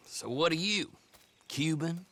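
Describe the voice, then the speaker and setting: An actor playing a chatty, shifty backwoods horse trader with an American Southern accent, teasing and sly in a game cutscene. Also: male voice, fairly high pitch, unhurried, pushed and bright, slight background noise. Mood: teasing, needling. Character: folksy, shifty backwoods horse fence, chatty twin brother. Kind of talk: dramatic game cutscene acting.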